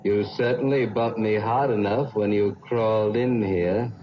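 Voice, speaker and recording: An actor playing the calm, sinister ghost of a dead man in an old radio drama. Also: on the low side, a little slow, close to an everyday voice. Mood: eerie calm. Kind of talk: 1940s radio acting. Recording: muffled old radio recording with loud hiss.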